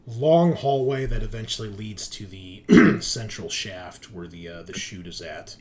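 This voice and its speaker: A man's voice: fairly low pitch, a little slow. A man narrating a tabletop roleplaying game as game master, talking conversationally and directly to his players.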